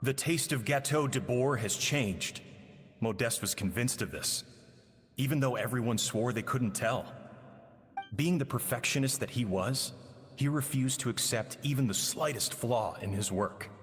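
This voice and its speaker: An actor playing a regretful chef recounting his past with a lost friend in slow, somber narration. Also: lowish voice, in a large hall, lightly acted. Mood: grave. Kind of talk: game cutscene narration.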